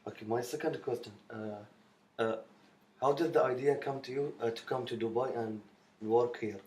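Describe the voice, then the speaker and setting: A man's voice: medium pitch, normal speed, darkish tone. A young man asking interview questions as a student, hesitant and feeling for his words. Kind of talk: interview questions, halting delivery.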